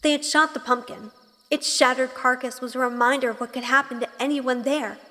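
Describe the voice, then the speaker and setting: A woman's voice: high-pitched, steady tone, fairly quick, sharp tone. A woman playing a sweet, anxious young witch in an audio drama, earnest and hesitant, also narrating. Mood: wistful narration. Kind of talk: audio drama acting with first-person narration.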